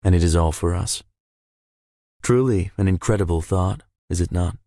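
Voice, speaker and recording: A man's voice: low voice, normal speed, dark tone, dry recording, clean studio sound. A man voicing a suave, self-assured young billionaire in a visual novel, with formal, polished and theatrical phrasing. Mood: proud, smooth. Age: young adult. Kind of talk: visual novel character acting.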